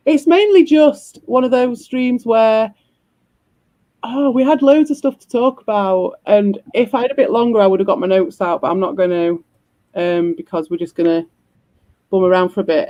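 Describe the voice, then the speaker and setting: A man with a croaky, husky voice, hosting a stream in loose, rambling chat and swearing freely. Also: sits high, darkish tone. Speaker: streamer host. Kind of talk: casual chat.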